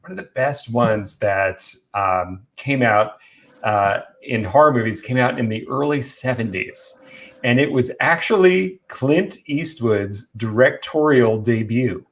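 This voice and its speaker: A man with an average-pitched voice co-hosts a casual live show. He addresses viewers informally, halting and repeating phrases for emphasis as he presents. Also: lively intonation. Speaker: talk show co-host.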